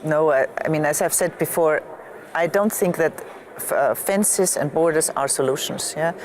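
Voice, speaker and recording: A woman's voice: sits low, moderate pace. A woman arguing as a politician on a panel, emphatic and opinionated, often tagging points with a questioning "yeah". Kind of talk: spontaneous political debate. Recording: faint background ambience.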